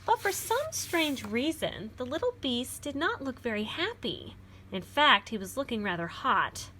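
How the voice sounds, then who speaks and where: Animated, bright-voiced woman reading a picture book. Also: sits high, measured pace, a little hiss or hum. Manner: explains simply to young listeners. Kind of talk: expressive children's read-aloud with explanatory asides.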